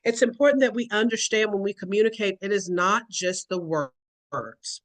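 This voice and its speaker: A woman's voice: mid-range voice, moderate pace. Woman, educator, earnest explanatory talk, acts out examples.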